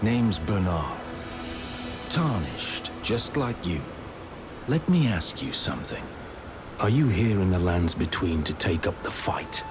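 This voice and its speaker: An actor playing a gruff, friendly, seasoned warrior and mentor in a video game, plain-spoken and laconic. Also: male voice, sits low, big pitch swings, slow pace, music in the background, exaggerated voice. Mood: measured, welcoming. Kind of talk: video game character dialogue.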